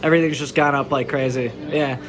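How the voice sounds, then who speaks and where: A man's voice: mid-range voice, fairly even intonation, quick pace, over music. A man interviewing a restaurant owner in a casual, upbeat and friendly way. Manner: upbeat and friendly, often saying "that's awesome". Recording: clear crowd chatter behind.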